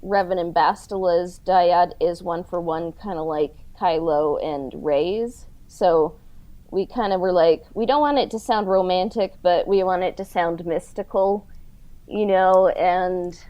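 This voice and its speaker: A woman's voice: fairly low pitch, a little slow, dark tone. A woman in casual conversation, talking informally as the creator of an audio drama. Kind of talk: casual chat about creative work. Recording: slightly dull lo-fi audio.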